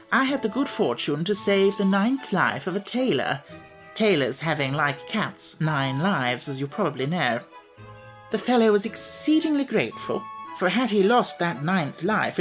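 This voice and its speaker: A pompous, learned insect gentleman, voiced by a woman reading a storybook aloud, raised in pitch above her plain reading voice. He talks in long, elaborate phrases with grand courtesy, and faint music plays beneath. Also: big pitch swings, moderate pace. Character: pompous, learned, overly polite male insect.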